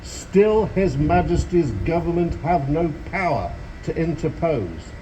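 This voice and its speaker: A man acts out a colonial captain delivering an official proclamation in a historical reenactment. His voice is soft-edged and a little high for a man, with stately, deliberate phrasing and solemn formality. There is faint noise behind him. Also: lively intonation, rough recording. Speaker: amateur drama society actor. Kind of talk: formal dramatic proclamation reading.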